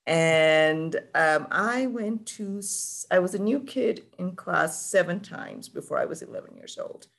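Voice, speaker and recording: A speaker from Sri Lanka, talking on a conference panel. The voice has a gravelly edge and a middling pitch. The talk is reflective and candid, with dry touches of humor. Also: unhurried, fairly bright tone. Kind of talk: panel discussion answer.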